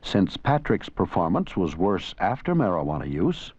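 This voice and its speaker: A man's voice: deep voice, sing-song, normal speed, a little mellow, slight background noise. A man narrating an educational film from a script, in an earnest, didactic way. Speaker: documentary narrator and presenter. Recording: dull 1980s educational film soundtrack.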